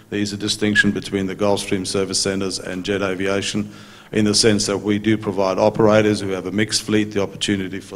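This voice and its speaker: A middle-aged man answers from a conference stage in a low, flat, measured voice. He holds a handheld mic, and a long reverb from the large hall trails each phrase. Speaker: maintenance company executive on a panel.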